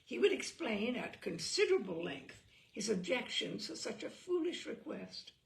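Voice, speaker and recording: A woman host reading a humorous literary essay aloud with theatrical, deliberate, carefully phrased delivery. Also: fairly high pitch, big pitch swings, gravelly. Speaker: host and reader. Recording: roomy echo, distant microphone.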